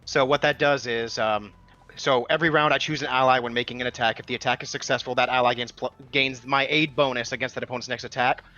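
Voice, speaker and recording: A man's voice: mid-range voice, fairly even intonation, quick pace, pushed and bright. A man playing a tabletop roleplaying game, casually explaining his moves and the rules. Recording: dull lo-fi mic, background music.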